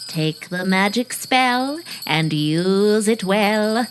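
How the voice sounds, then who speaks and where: A woman's voice: mid-range voice, expressive, faint music behind. A woman playing a magical figure in a melodrama within an audio drama, chanting grandly in rhyme. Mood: incantatory. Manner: incantatory, slow, grandly theatrical rhyming. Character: airy sprite and its summoner in melodrama play.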